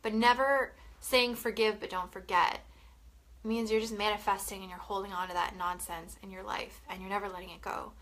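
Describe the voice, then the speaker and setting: A woman's voice: mid-range voice, steady tone, normal speed, fairly bright tone, poor audio. A young woman from Canada with a head cold, sounding sniffly, talking casually and chattily to camera. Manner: chatty, swearing, frequent "like" and "you know". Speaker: wellness vlogger.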